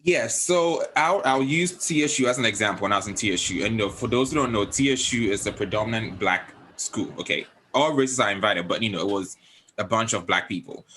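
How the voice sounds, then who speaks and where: A man's voice: on the low side, brisk, slight background noise. A young man hosting a casual panel chat, telling stories with lots of laughter and mimicking other people. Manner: animated storyteller, laughs often, quotes others in a raised voice. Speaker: host.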